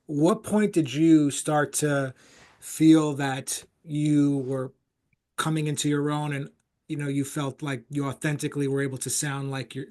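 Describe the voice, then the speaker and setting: A man hosting a jazz podcast interview. His questions wander and restart, padded with "you know" and "um." His delivery is relaxed and conversational. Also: a little high. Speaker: podcast host.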